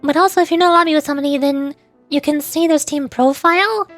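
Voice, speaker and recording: A young woman talks casually to her stream in a notably high voice, wandering between thoughts and laughing as she goes. She sounds close to a desk mic. Quiet game music plays behind her. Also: quick pace, no room sound. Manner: rambling and chatty, with frequent laughs and restarts.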